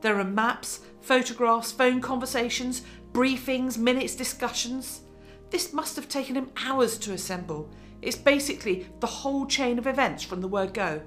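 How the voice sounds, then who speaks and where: A woman's voice: a little high, moderate pace, a bit bright, soft background music, close to an everyday voice. A woman playing a determined, earnest news reporter in a radio drama, with emphatic delivery.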